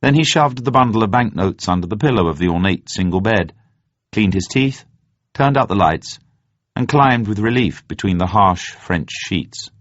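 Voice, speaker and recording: A man reading a spy novel aloud as an audiobook narrator, in measured, deliberate phrasing. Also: on the low side, animated, no echo. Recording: dull, muffled, dry close recording.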